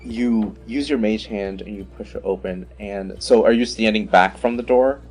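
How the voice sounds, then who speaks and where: A man's voice: fairly low pitch, moderate pace, darkish tone, slightly rough. A man running a tabletop fantasy game as game master, describing scenes in a conversational way. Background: music.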